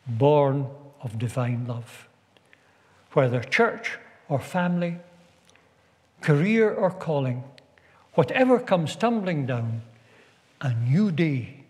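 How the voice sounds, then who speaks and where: A man preaching a sermon as a visiting minister, measured and deliberate with long pauses. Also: fairly high pitch, darkish tone. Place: stone church. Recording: long church reverb.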